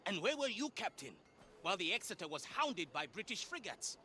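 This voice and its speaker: An actor playing a furious, scornful pirate sailor in a video game, theatrical and biting, with old-fashioned seafaring insults. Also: male voice, sits high, big pitch swings, brisk, bright, forceful tone, a little hiss or hum, full character voice. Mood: scornful anger. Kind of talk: dramatic video game acting.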